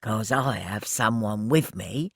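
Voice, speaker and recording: A man voicing a calm young man who speaks in a soothing, low-key way. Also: slow, creaky, breathy edge, no echo, studio quality, exaggerated voice.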